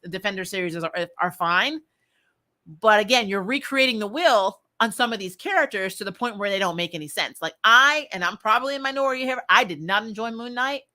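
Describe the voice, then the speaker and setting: Bright-voiced woman chatting candidly. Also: medium pitch. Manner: candid, informal, mild swearing; laughs often while talking. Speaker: livestream host. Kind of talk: casual chat, opinionated fan commentary.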